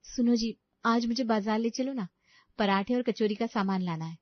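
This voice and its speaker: A woman's voice: on the high side, normal speed, no echo, pitched above the performer's own voice, lightly acted. An earnest, determined young daughter-in-law from a storybook , acted by a woman narrator. She speaks in a raised, emphatic voice. The audio is dry and slightly dull. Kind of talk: animated story dialogue.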